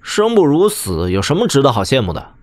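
A man's voice: mid-range voice, huge pitch swings, a little fast, dry recording. A man playing a wry, easygoing young noble swordsman in a martial-arts audio drama, chatting in a teasing, conversational way. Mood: wry, reflective.

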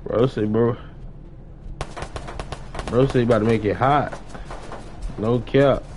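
Young American male streamer, casual slangy talk with repeated phrases, medium pitch, some noise in the recording. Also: fairly even intonation, slow pace, darkish tone. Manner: repeats phrases and uses heavy street slang. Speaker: live game streamer. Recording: noisy live stream with game sounds.

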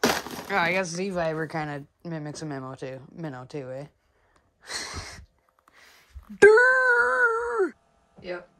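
A young man narrating his ice fishing to the camera, excitable and quick to exclaim, recorded in a small wooden fish house. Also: high voice. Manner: excitable, swears and exclaims at bites. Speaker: fishing vlogger. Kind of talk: excited casual commentary.

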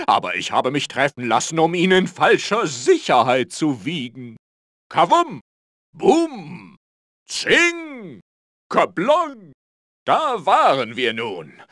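Pompous cartoon space hero for a video game, high bright voice, bragging and bumbling. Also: male voice, no room sound, clean studio sound, heavily acted. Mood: flustered, then excited, then boastful. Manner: pompous, boastful, stammering when caught out. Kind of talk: theatrical cartoon game acting.

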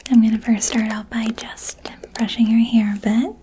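A young woman whispering softly and gently asking questions as a barber in an ASMR haircut roleplay, recorded close to the mic in a small room. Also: on the high side, flat delivery, moderate pace, a little hiss or hum. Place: small decorated indoor room. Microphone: close studio mic in front.

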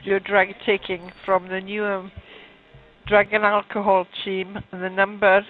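A woman working as a helpline operator, questioning in a brisk, blunt, businesslike way. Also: medium pitch, level tone, soft background music. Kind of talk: phone intake questioning. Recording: tinny, narrow telephone line.

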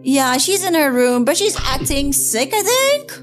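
Woman voicing a very high-pitched girlish big sister. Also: big pitch swings, unhurried, faint music behind. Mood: casual, concerned. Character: worried young big sister in a horror roleplay. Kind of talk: voiced game roleplay acting.

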